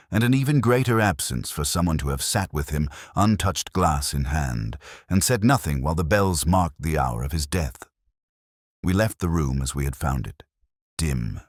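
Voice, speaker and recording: Male narrator, very low register, dry close sound, measured literary delivery for detective fiction with wide melodic movement. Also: no echo. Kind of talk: scripted first-person detective story narration.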